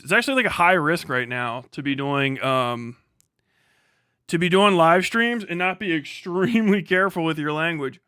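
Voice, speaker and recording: A man's voice: a little high, sharp tone, smooth, no echo, clean studio sound. A man hosting a live stream in a casual, rambling, slangy and profane way, with some showy announcer-like address to viewers. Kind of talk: casual rambling livestream commentary.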